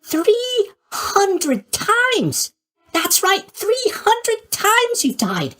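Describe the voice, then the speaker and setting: Boyish sleepy god, video game character, very high voice, hugely animated intonation, chirpy, naive, tactless. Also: male voice, very slow, full character voice. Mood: amazed. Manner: bubbly, naive, scatterbrained, casually tactless.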